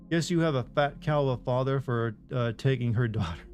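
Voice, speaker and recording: A man's voice: mid-range voice, normal speed, darkish tone. Regular guy streamer, mid-range voice, jokes at his own expense while reading chat; faint music bed. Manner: rambling, self-deprecating joking with chat. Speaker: game streamer. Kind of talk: casual live-stream chat, reading out viewer messages.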